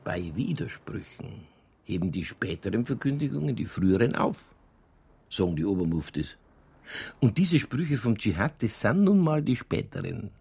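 A man playing a stubborn, sardonic homicide chief in a radio crime drama, with a Bavarian accent, a gravelly, husky voice and deadpan delivery. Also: fairly low pitch, moderate pace, heavily acted.

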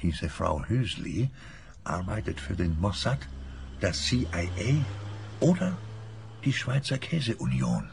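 A man's voice: low-pitched, big pitch swings, takes it slowly, vocal fry, a little mellow, slight background noise, exaggerated voice. An actor playing a paranoid, self-important old man in a radio play, speaking in a secretive, intense way. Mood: conspiratorial, grave. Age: older.

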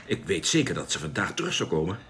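Sci-fi radio play role: cautious, worrying astronaut, mid-range voice, swooping intonation, hesitant, slight noisy backdrop. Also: male voice, low voice, big pitch swings, fast. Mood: worried. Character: cautious, caring male astronaut, prone to worry. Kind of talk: Dutch science fiction radio drama acting.

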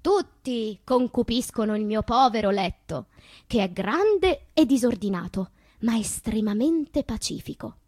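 An actress playing a sensual, unashamed woman poet in a deliberate, intimate theatrical monologue about her inner life. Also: on the high side, animated, a little mellow, no echo, clean studio sound. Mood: wry, playful. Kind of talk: theatrical literary monologue with sung passages.

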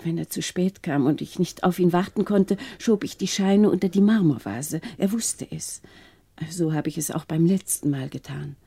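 Low, husky, subdued confessing woman. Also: moderate pace, mellow, dry recording. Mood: sorrowful, hesitant. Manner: hesitant, subdued, breathy. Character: wealthy woman confessing secret meetings, grave, sorrowful, restrained. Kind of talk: 1960s German radio crime drama acting.

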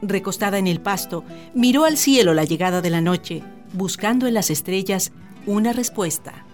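A woman's voice: medium pitch, animated, normal speed, a little mellow, soft background music, lightly acted. A woman giving scripted, storybook narration as the narrator of a radio play.

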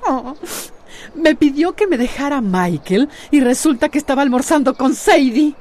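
An actress playing a proud, bold, exasperated friend in a radio soap opera, emphatic and dramatic. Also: female voice, sits high, expressive, normal speed, a little mellow. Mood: hurt, indignant.

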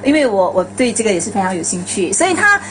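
Fast-talking older woman, eager and emphatic. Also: medium pitch, big pitch swings, a bit bright, slight background noise. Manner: eager, emphatic, repeats phrases. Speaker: radio drama performer, interview guest. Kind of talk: animated casual reminiscing.

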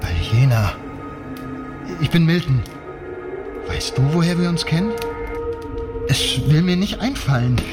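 A courteous man who grows uneasy and hesitant as he fails to place a face. Music runs quietly beneath his lines. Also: fairly high pitch, big pitch swings, unhurried, pauses often. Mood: puzzled. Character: uneasy older gentleman named Milton. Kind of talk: radio drama acting.

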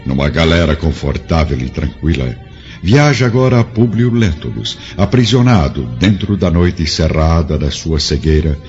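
A Brazilian man narrating a radio drama in a solemn, theatrical style with measured phrasing. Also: a bass voice, expressive, a little mellow. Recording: dull lo-fi audio, music underneath.

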